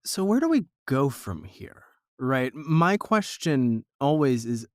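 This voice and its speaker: Guy hosting a podcast interview, mid-pitched voice, dry recording, long thoughtful questions. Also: very animated, slow pace, no echo. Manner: reflective, discursive questioning. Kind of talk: conversational interview.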